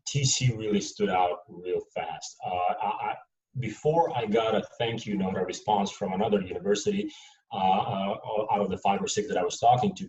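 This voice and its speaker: A man from Serbia speaks as a panelist, in a measured, hesitant way with frequent fillers. His voice sits a little low. He is picked up from afar in a reverberant room, and the sound is muffled. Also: darkish tone. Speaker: panelist, airline employee. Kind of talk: panel discussion answers. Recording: dull, roomy sound from a distant mic.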